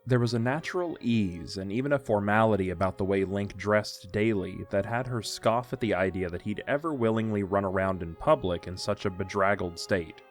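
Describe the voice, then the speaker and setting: A man narrating a scripted audio drama in a measured, literary storytelling delivery. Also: on the low side, faint music behind.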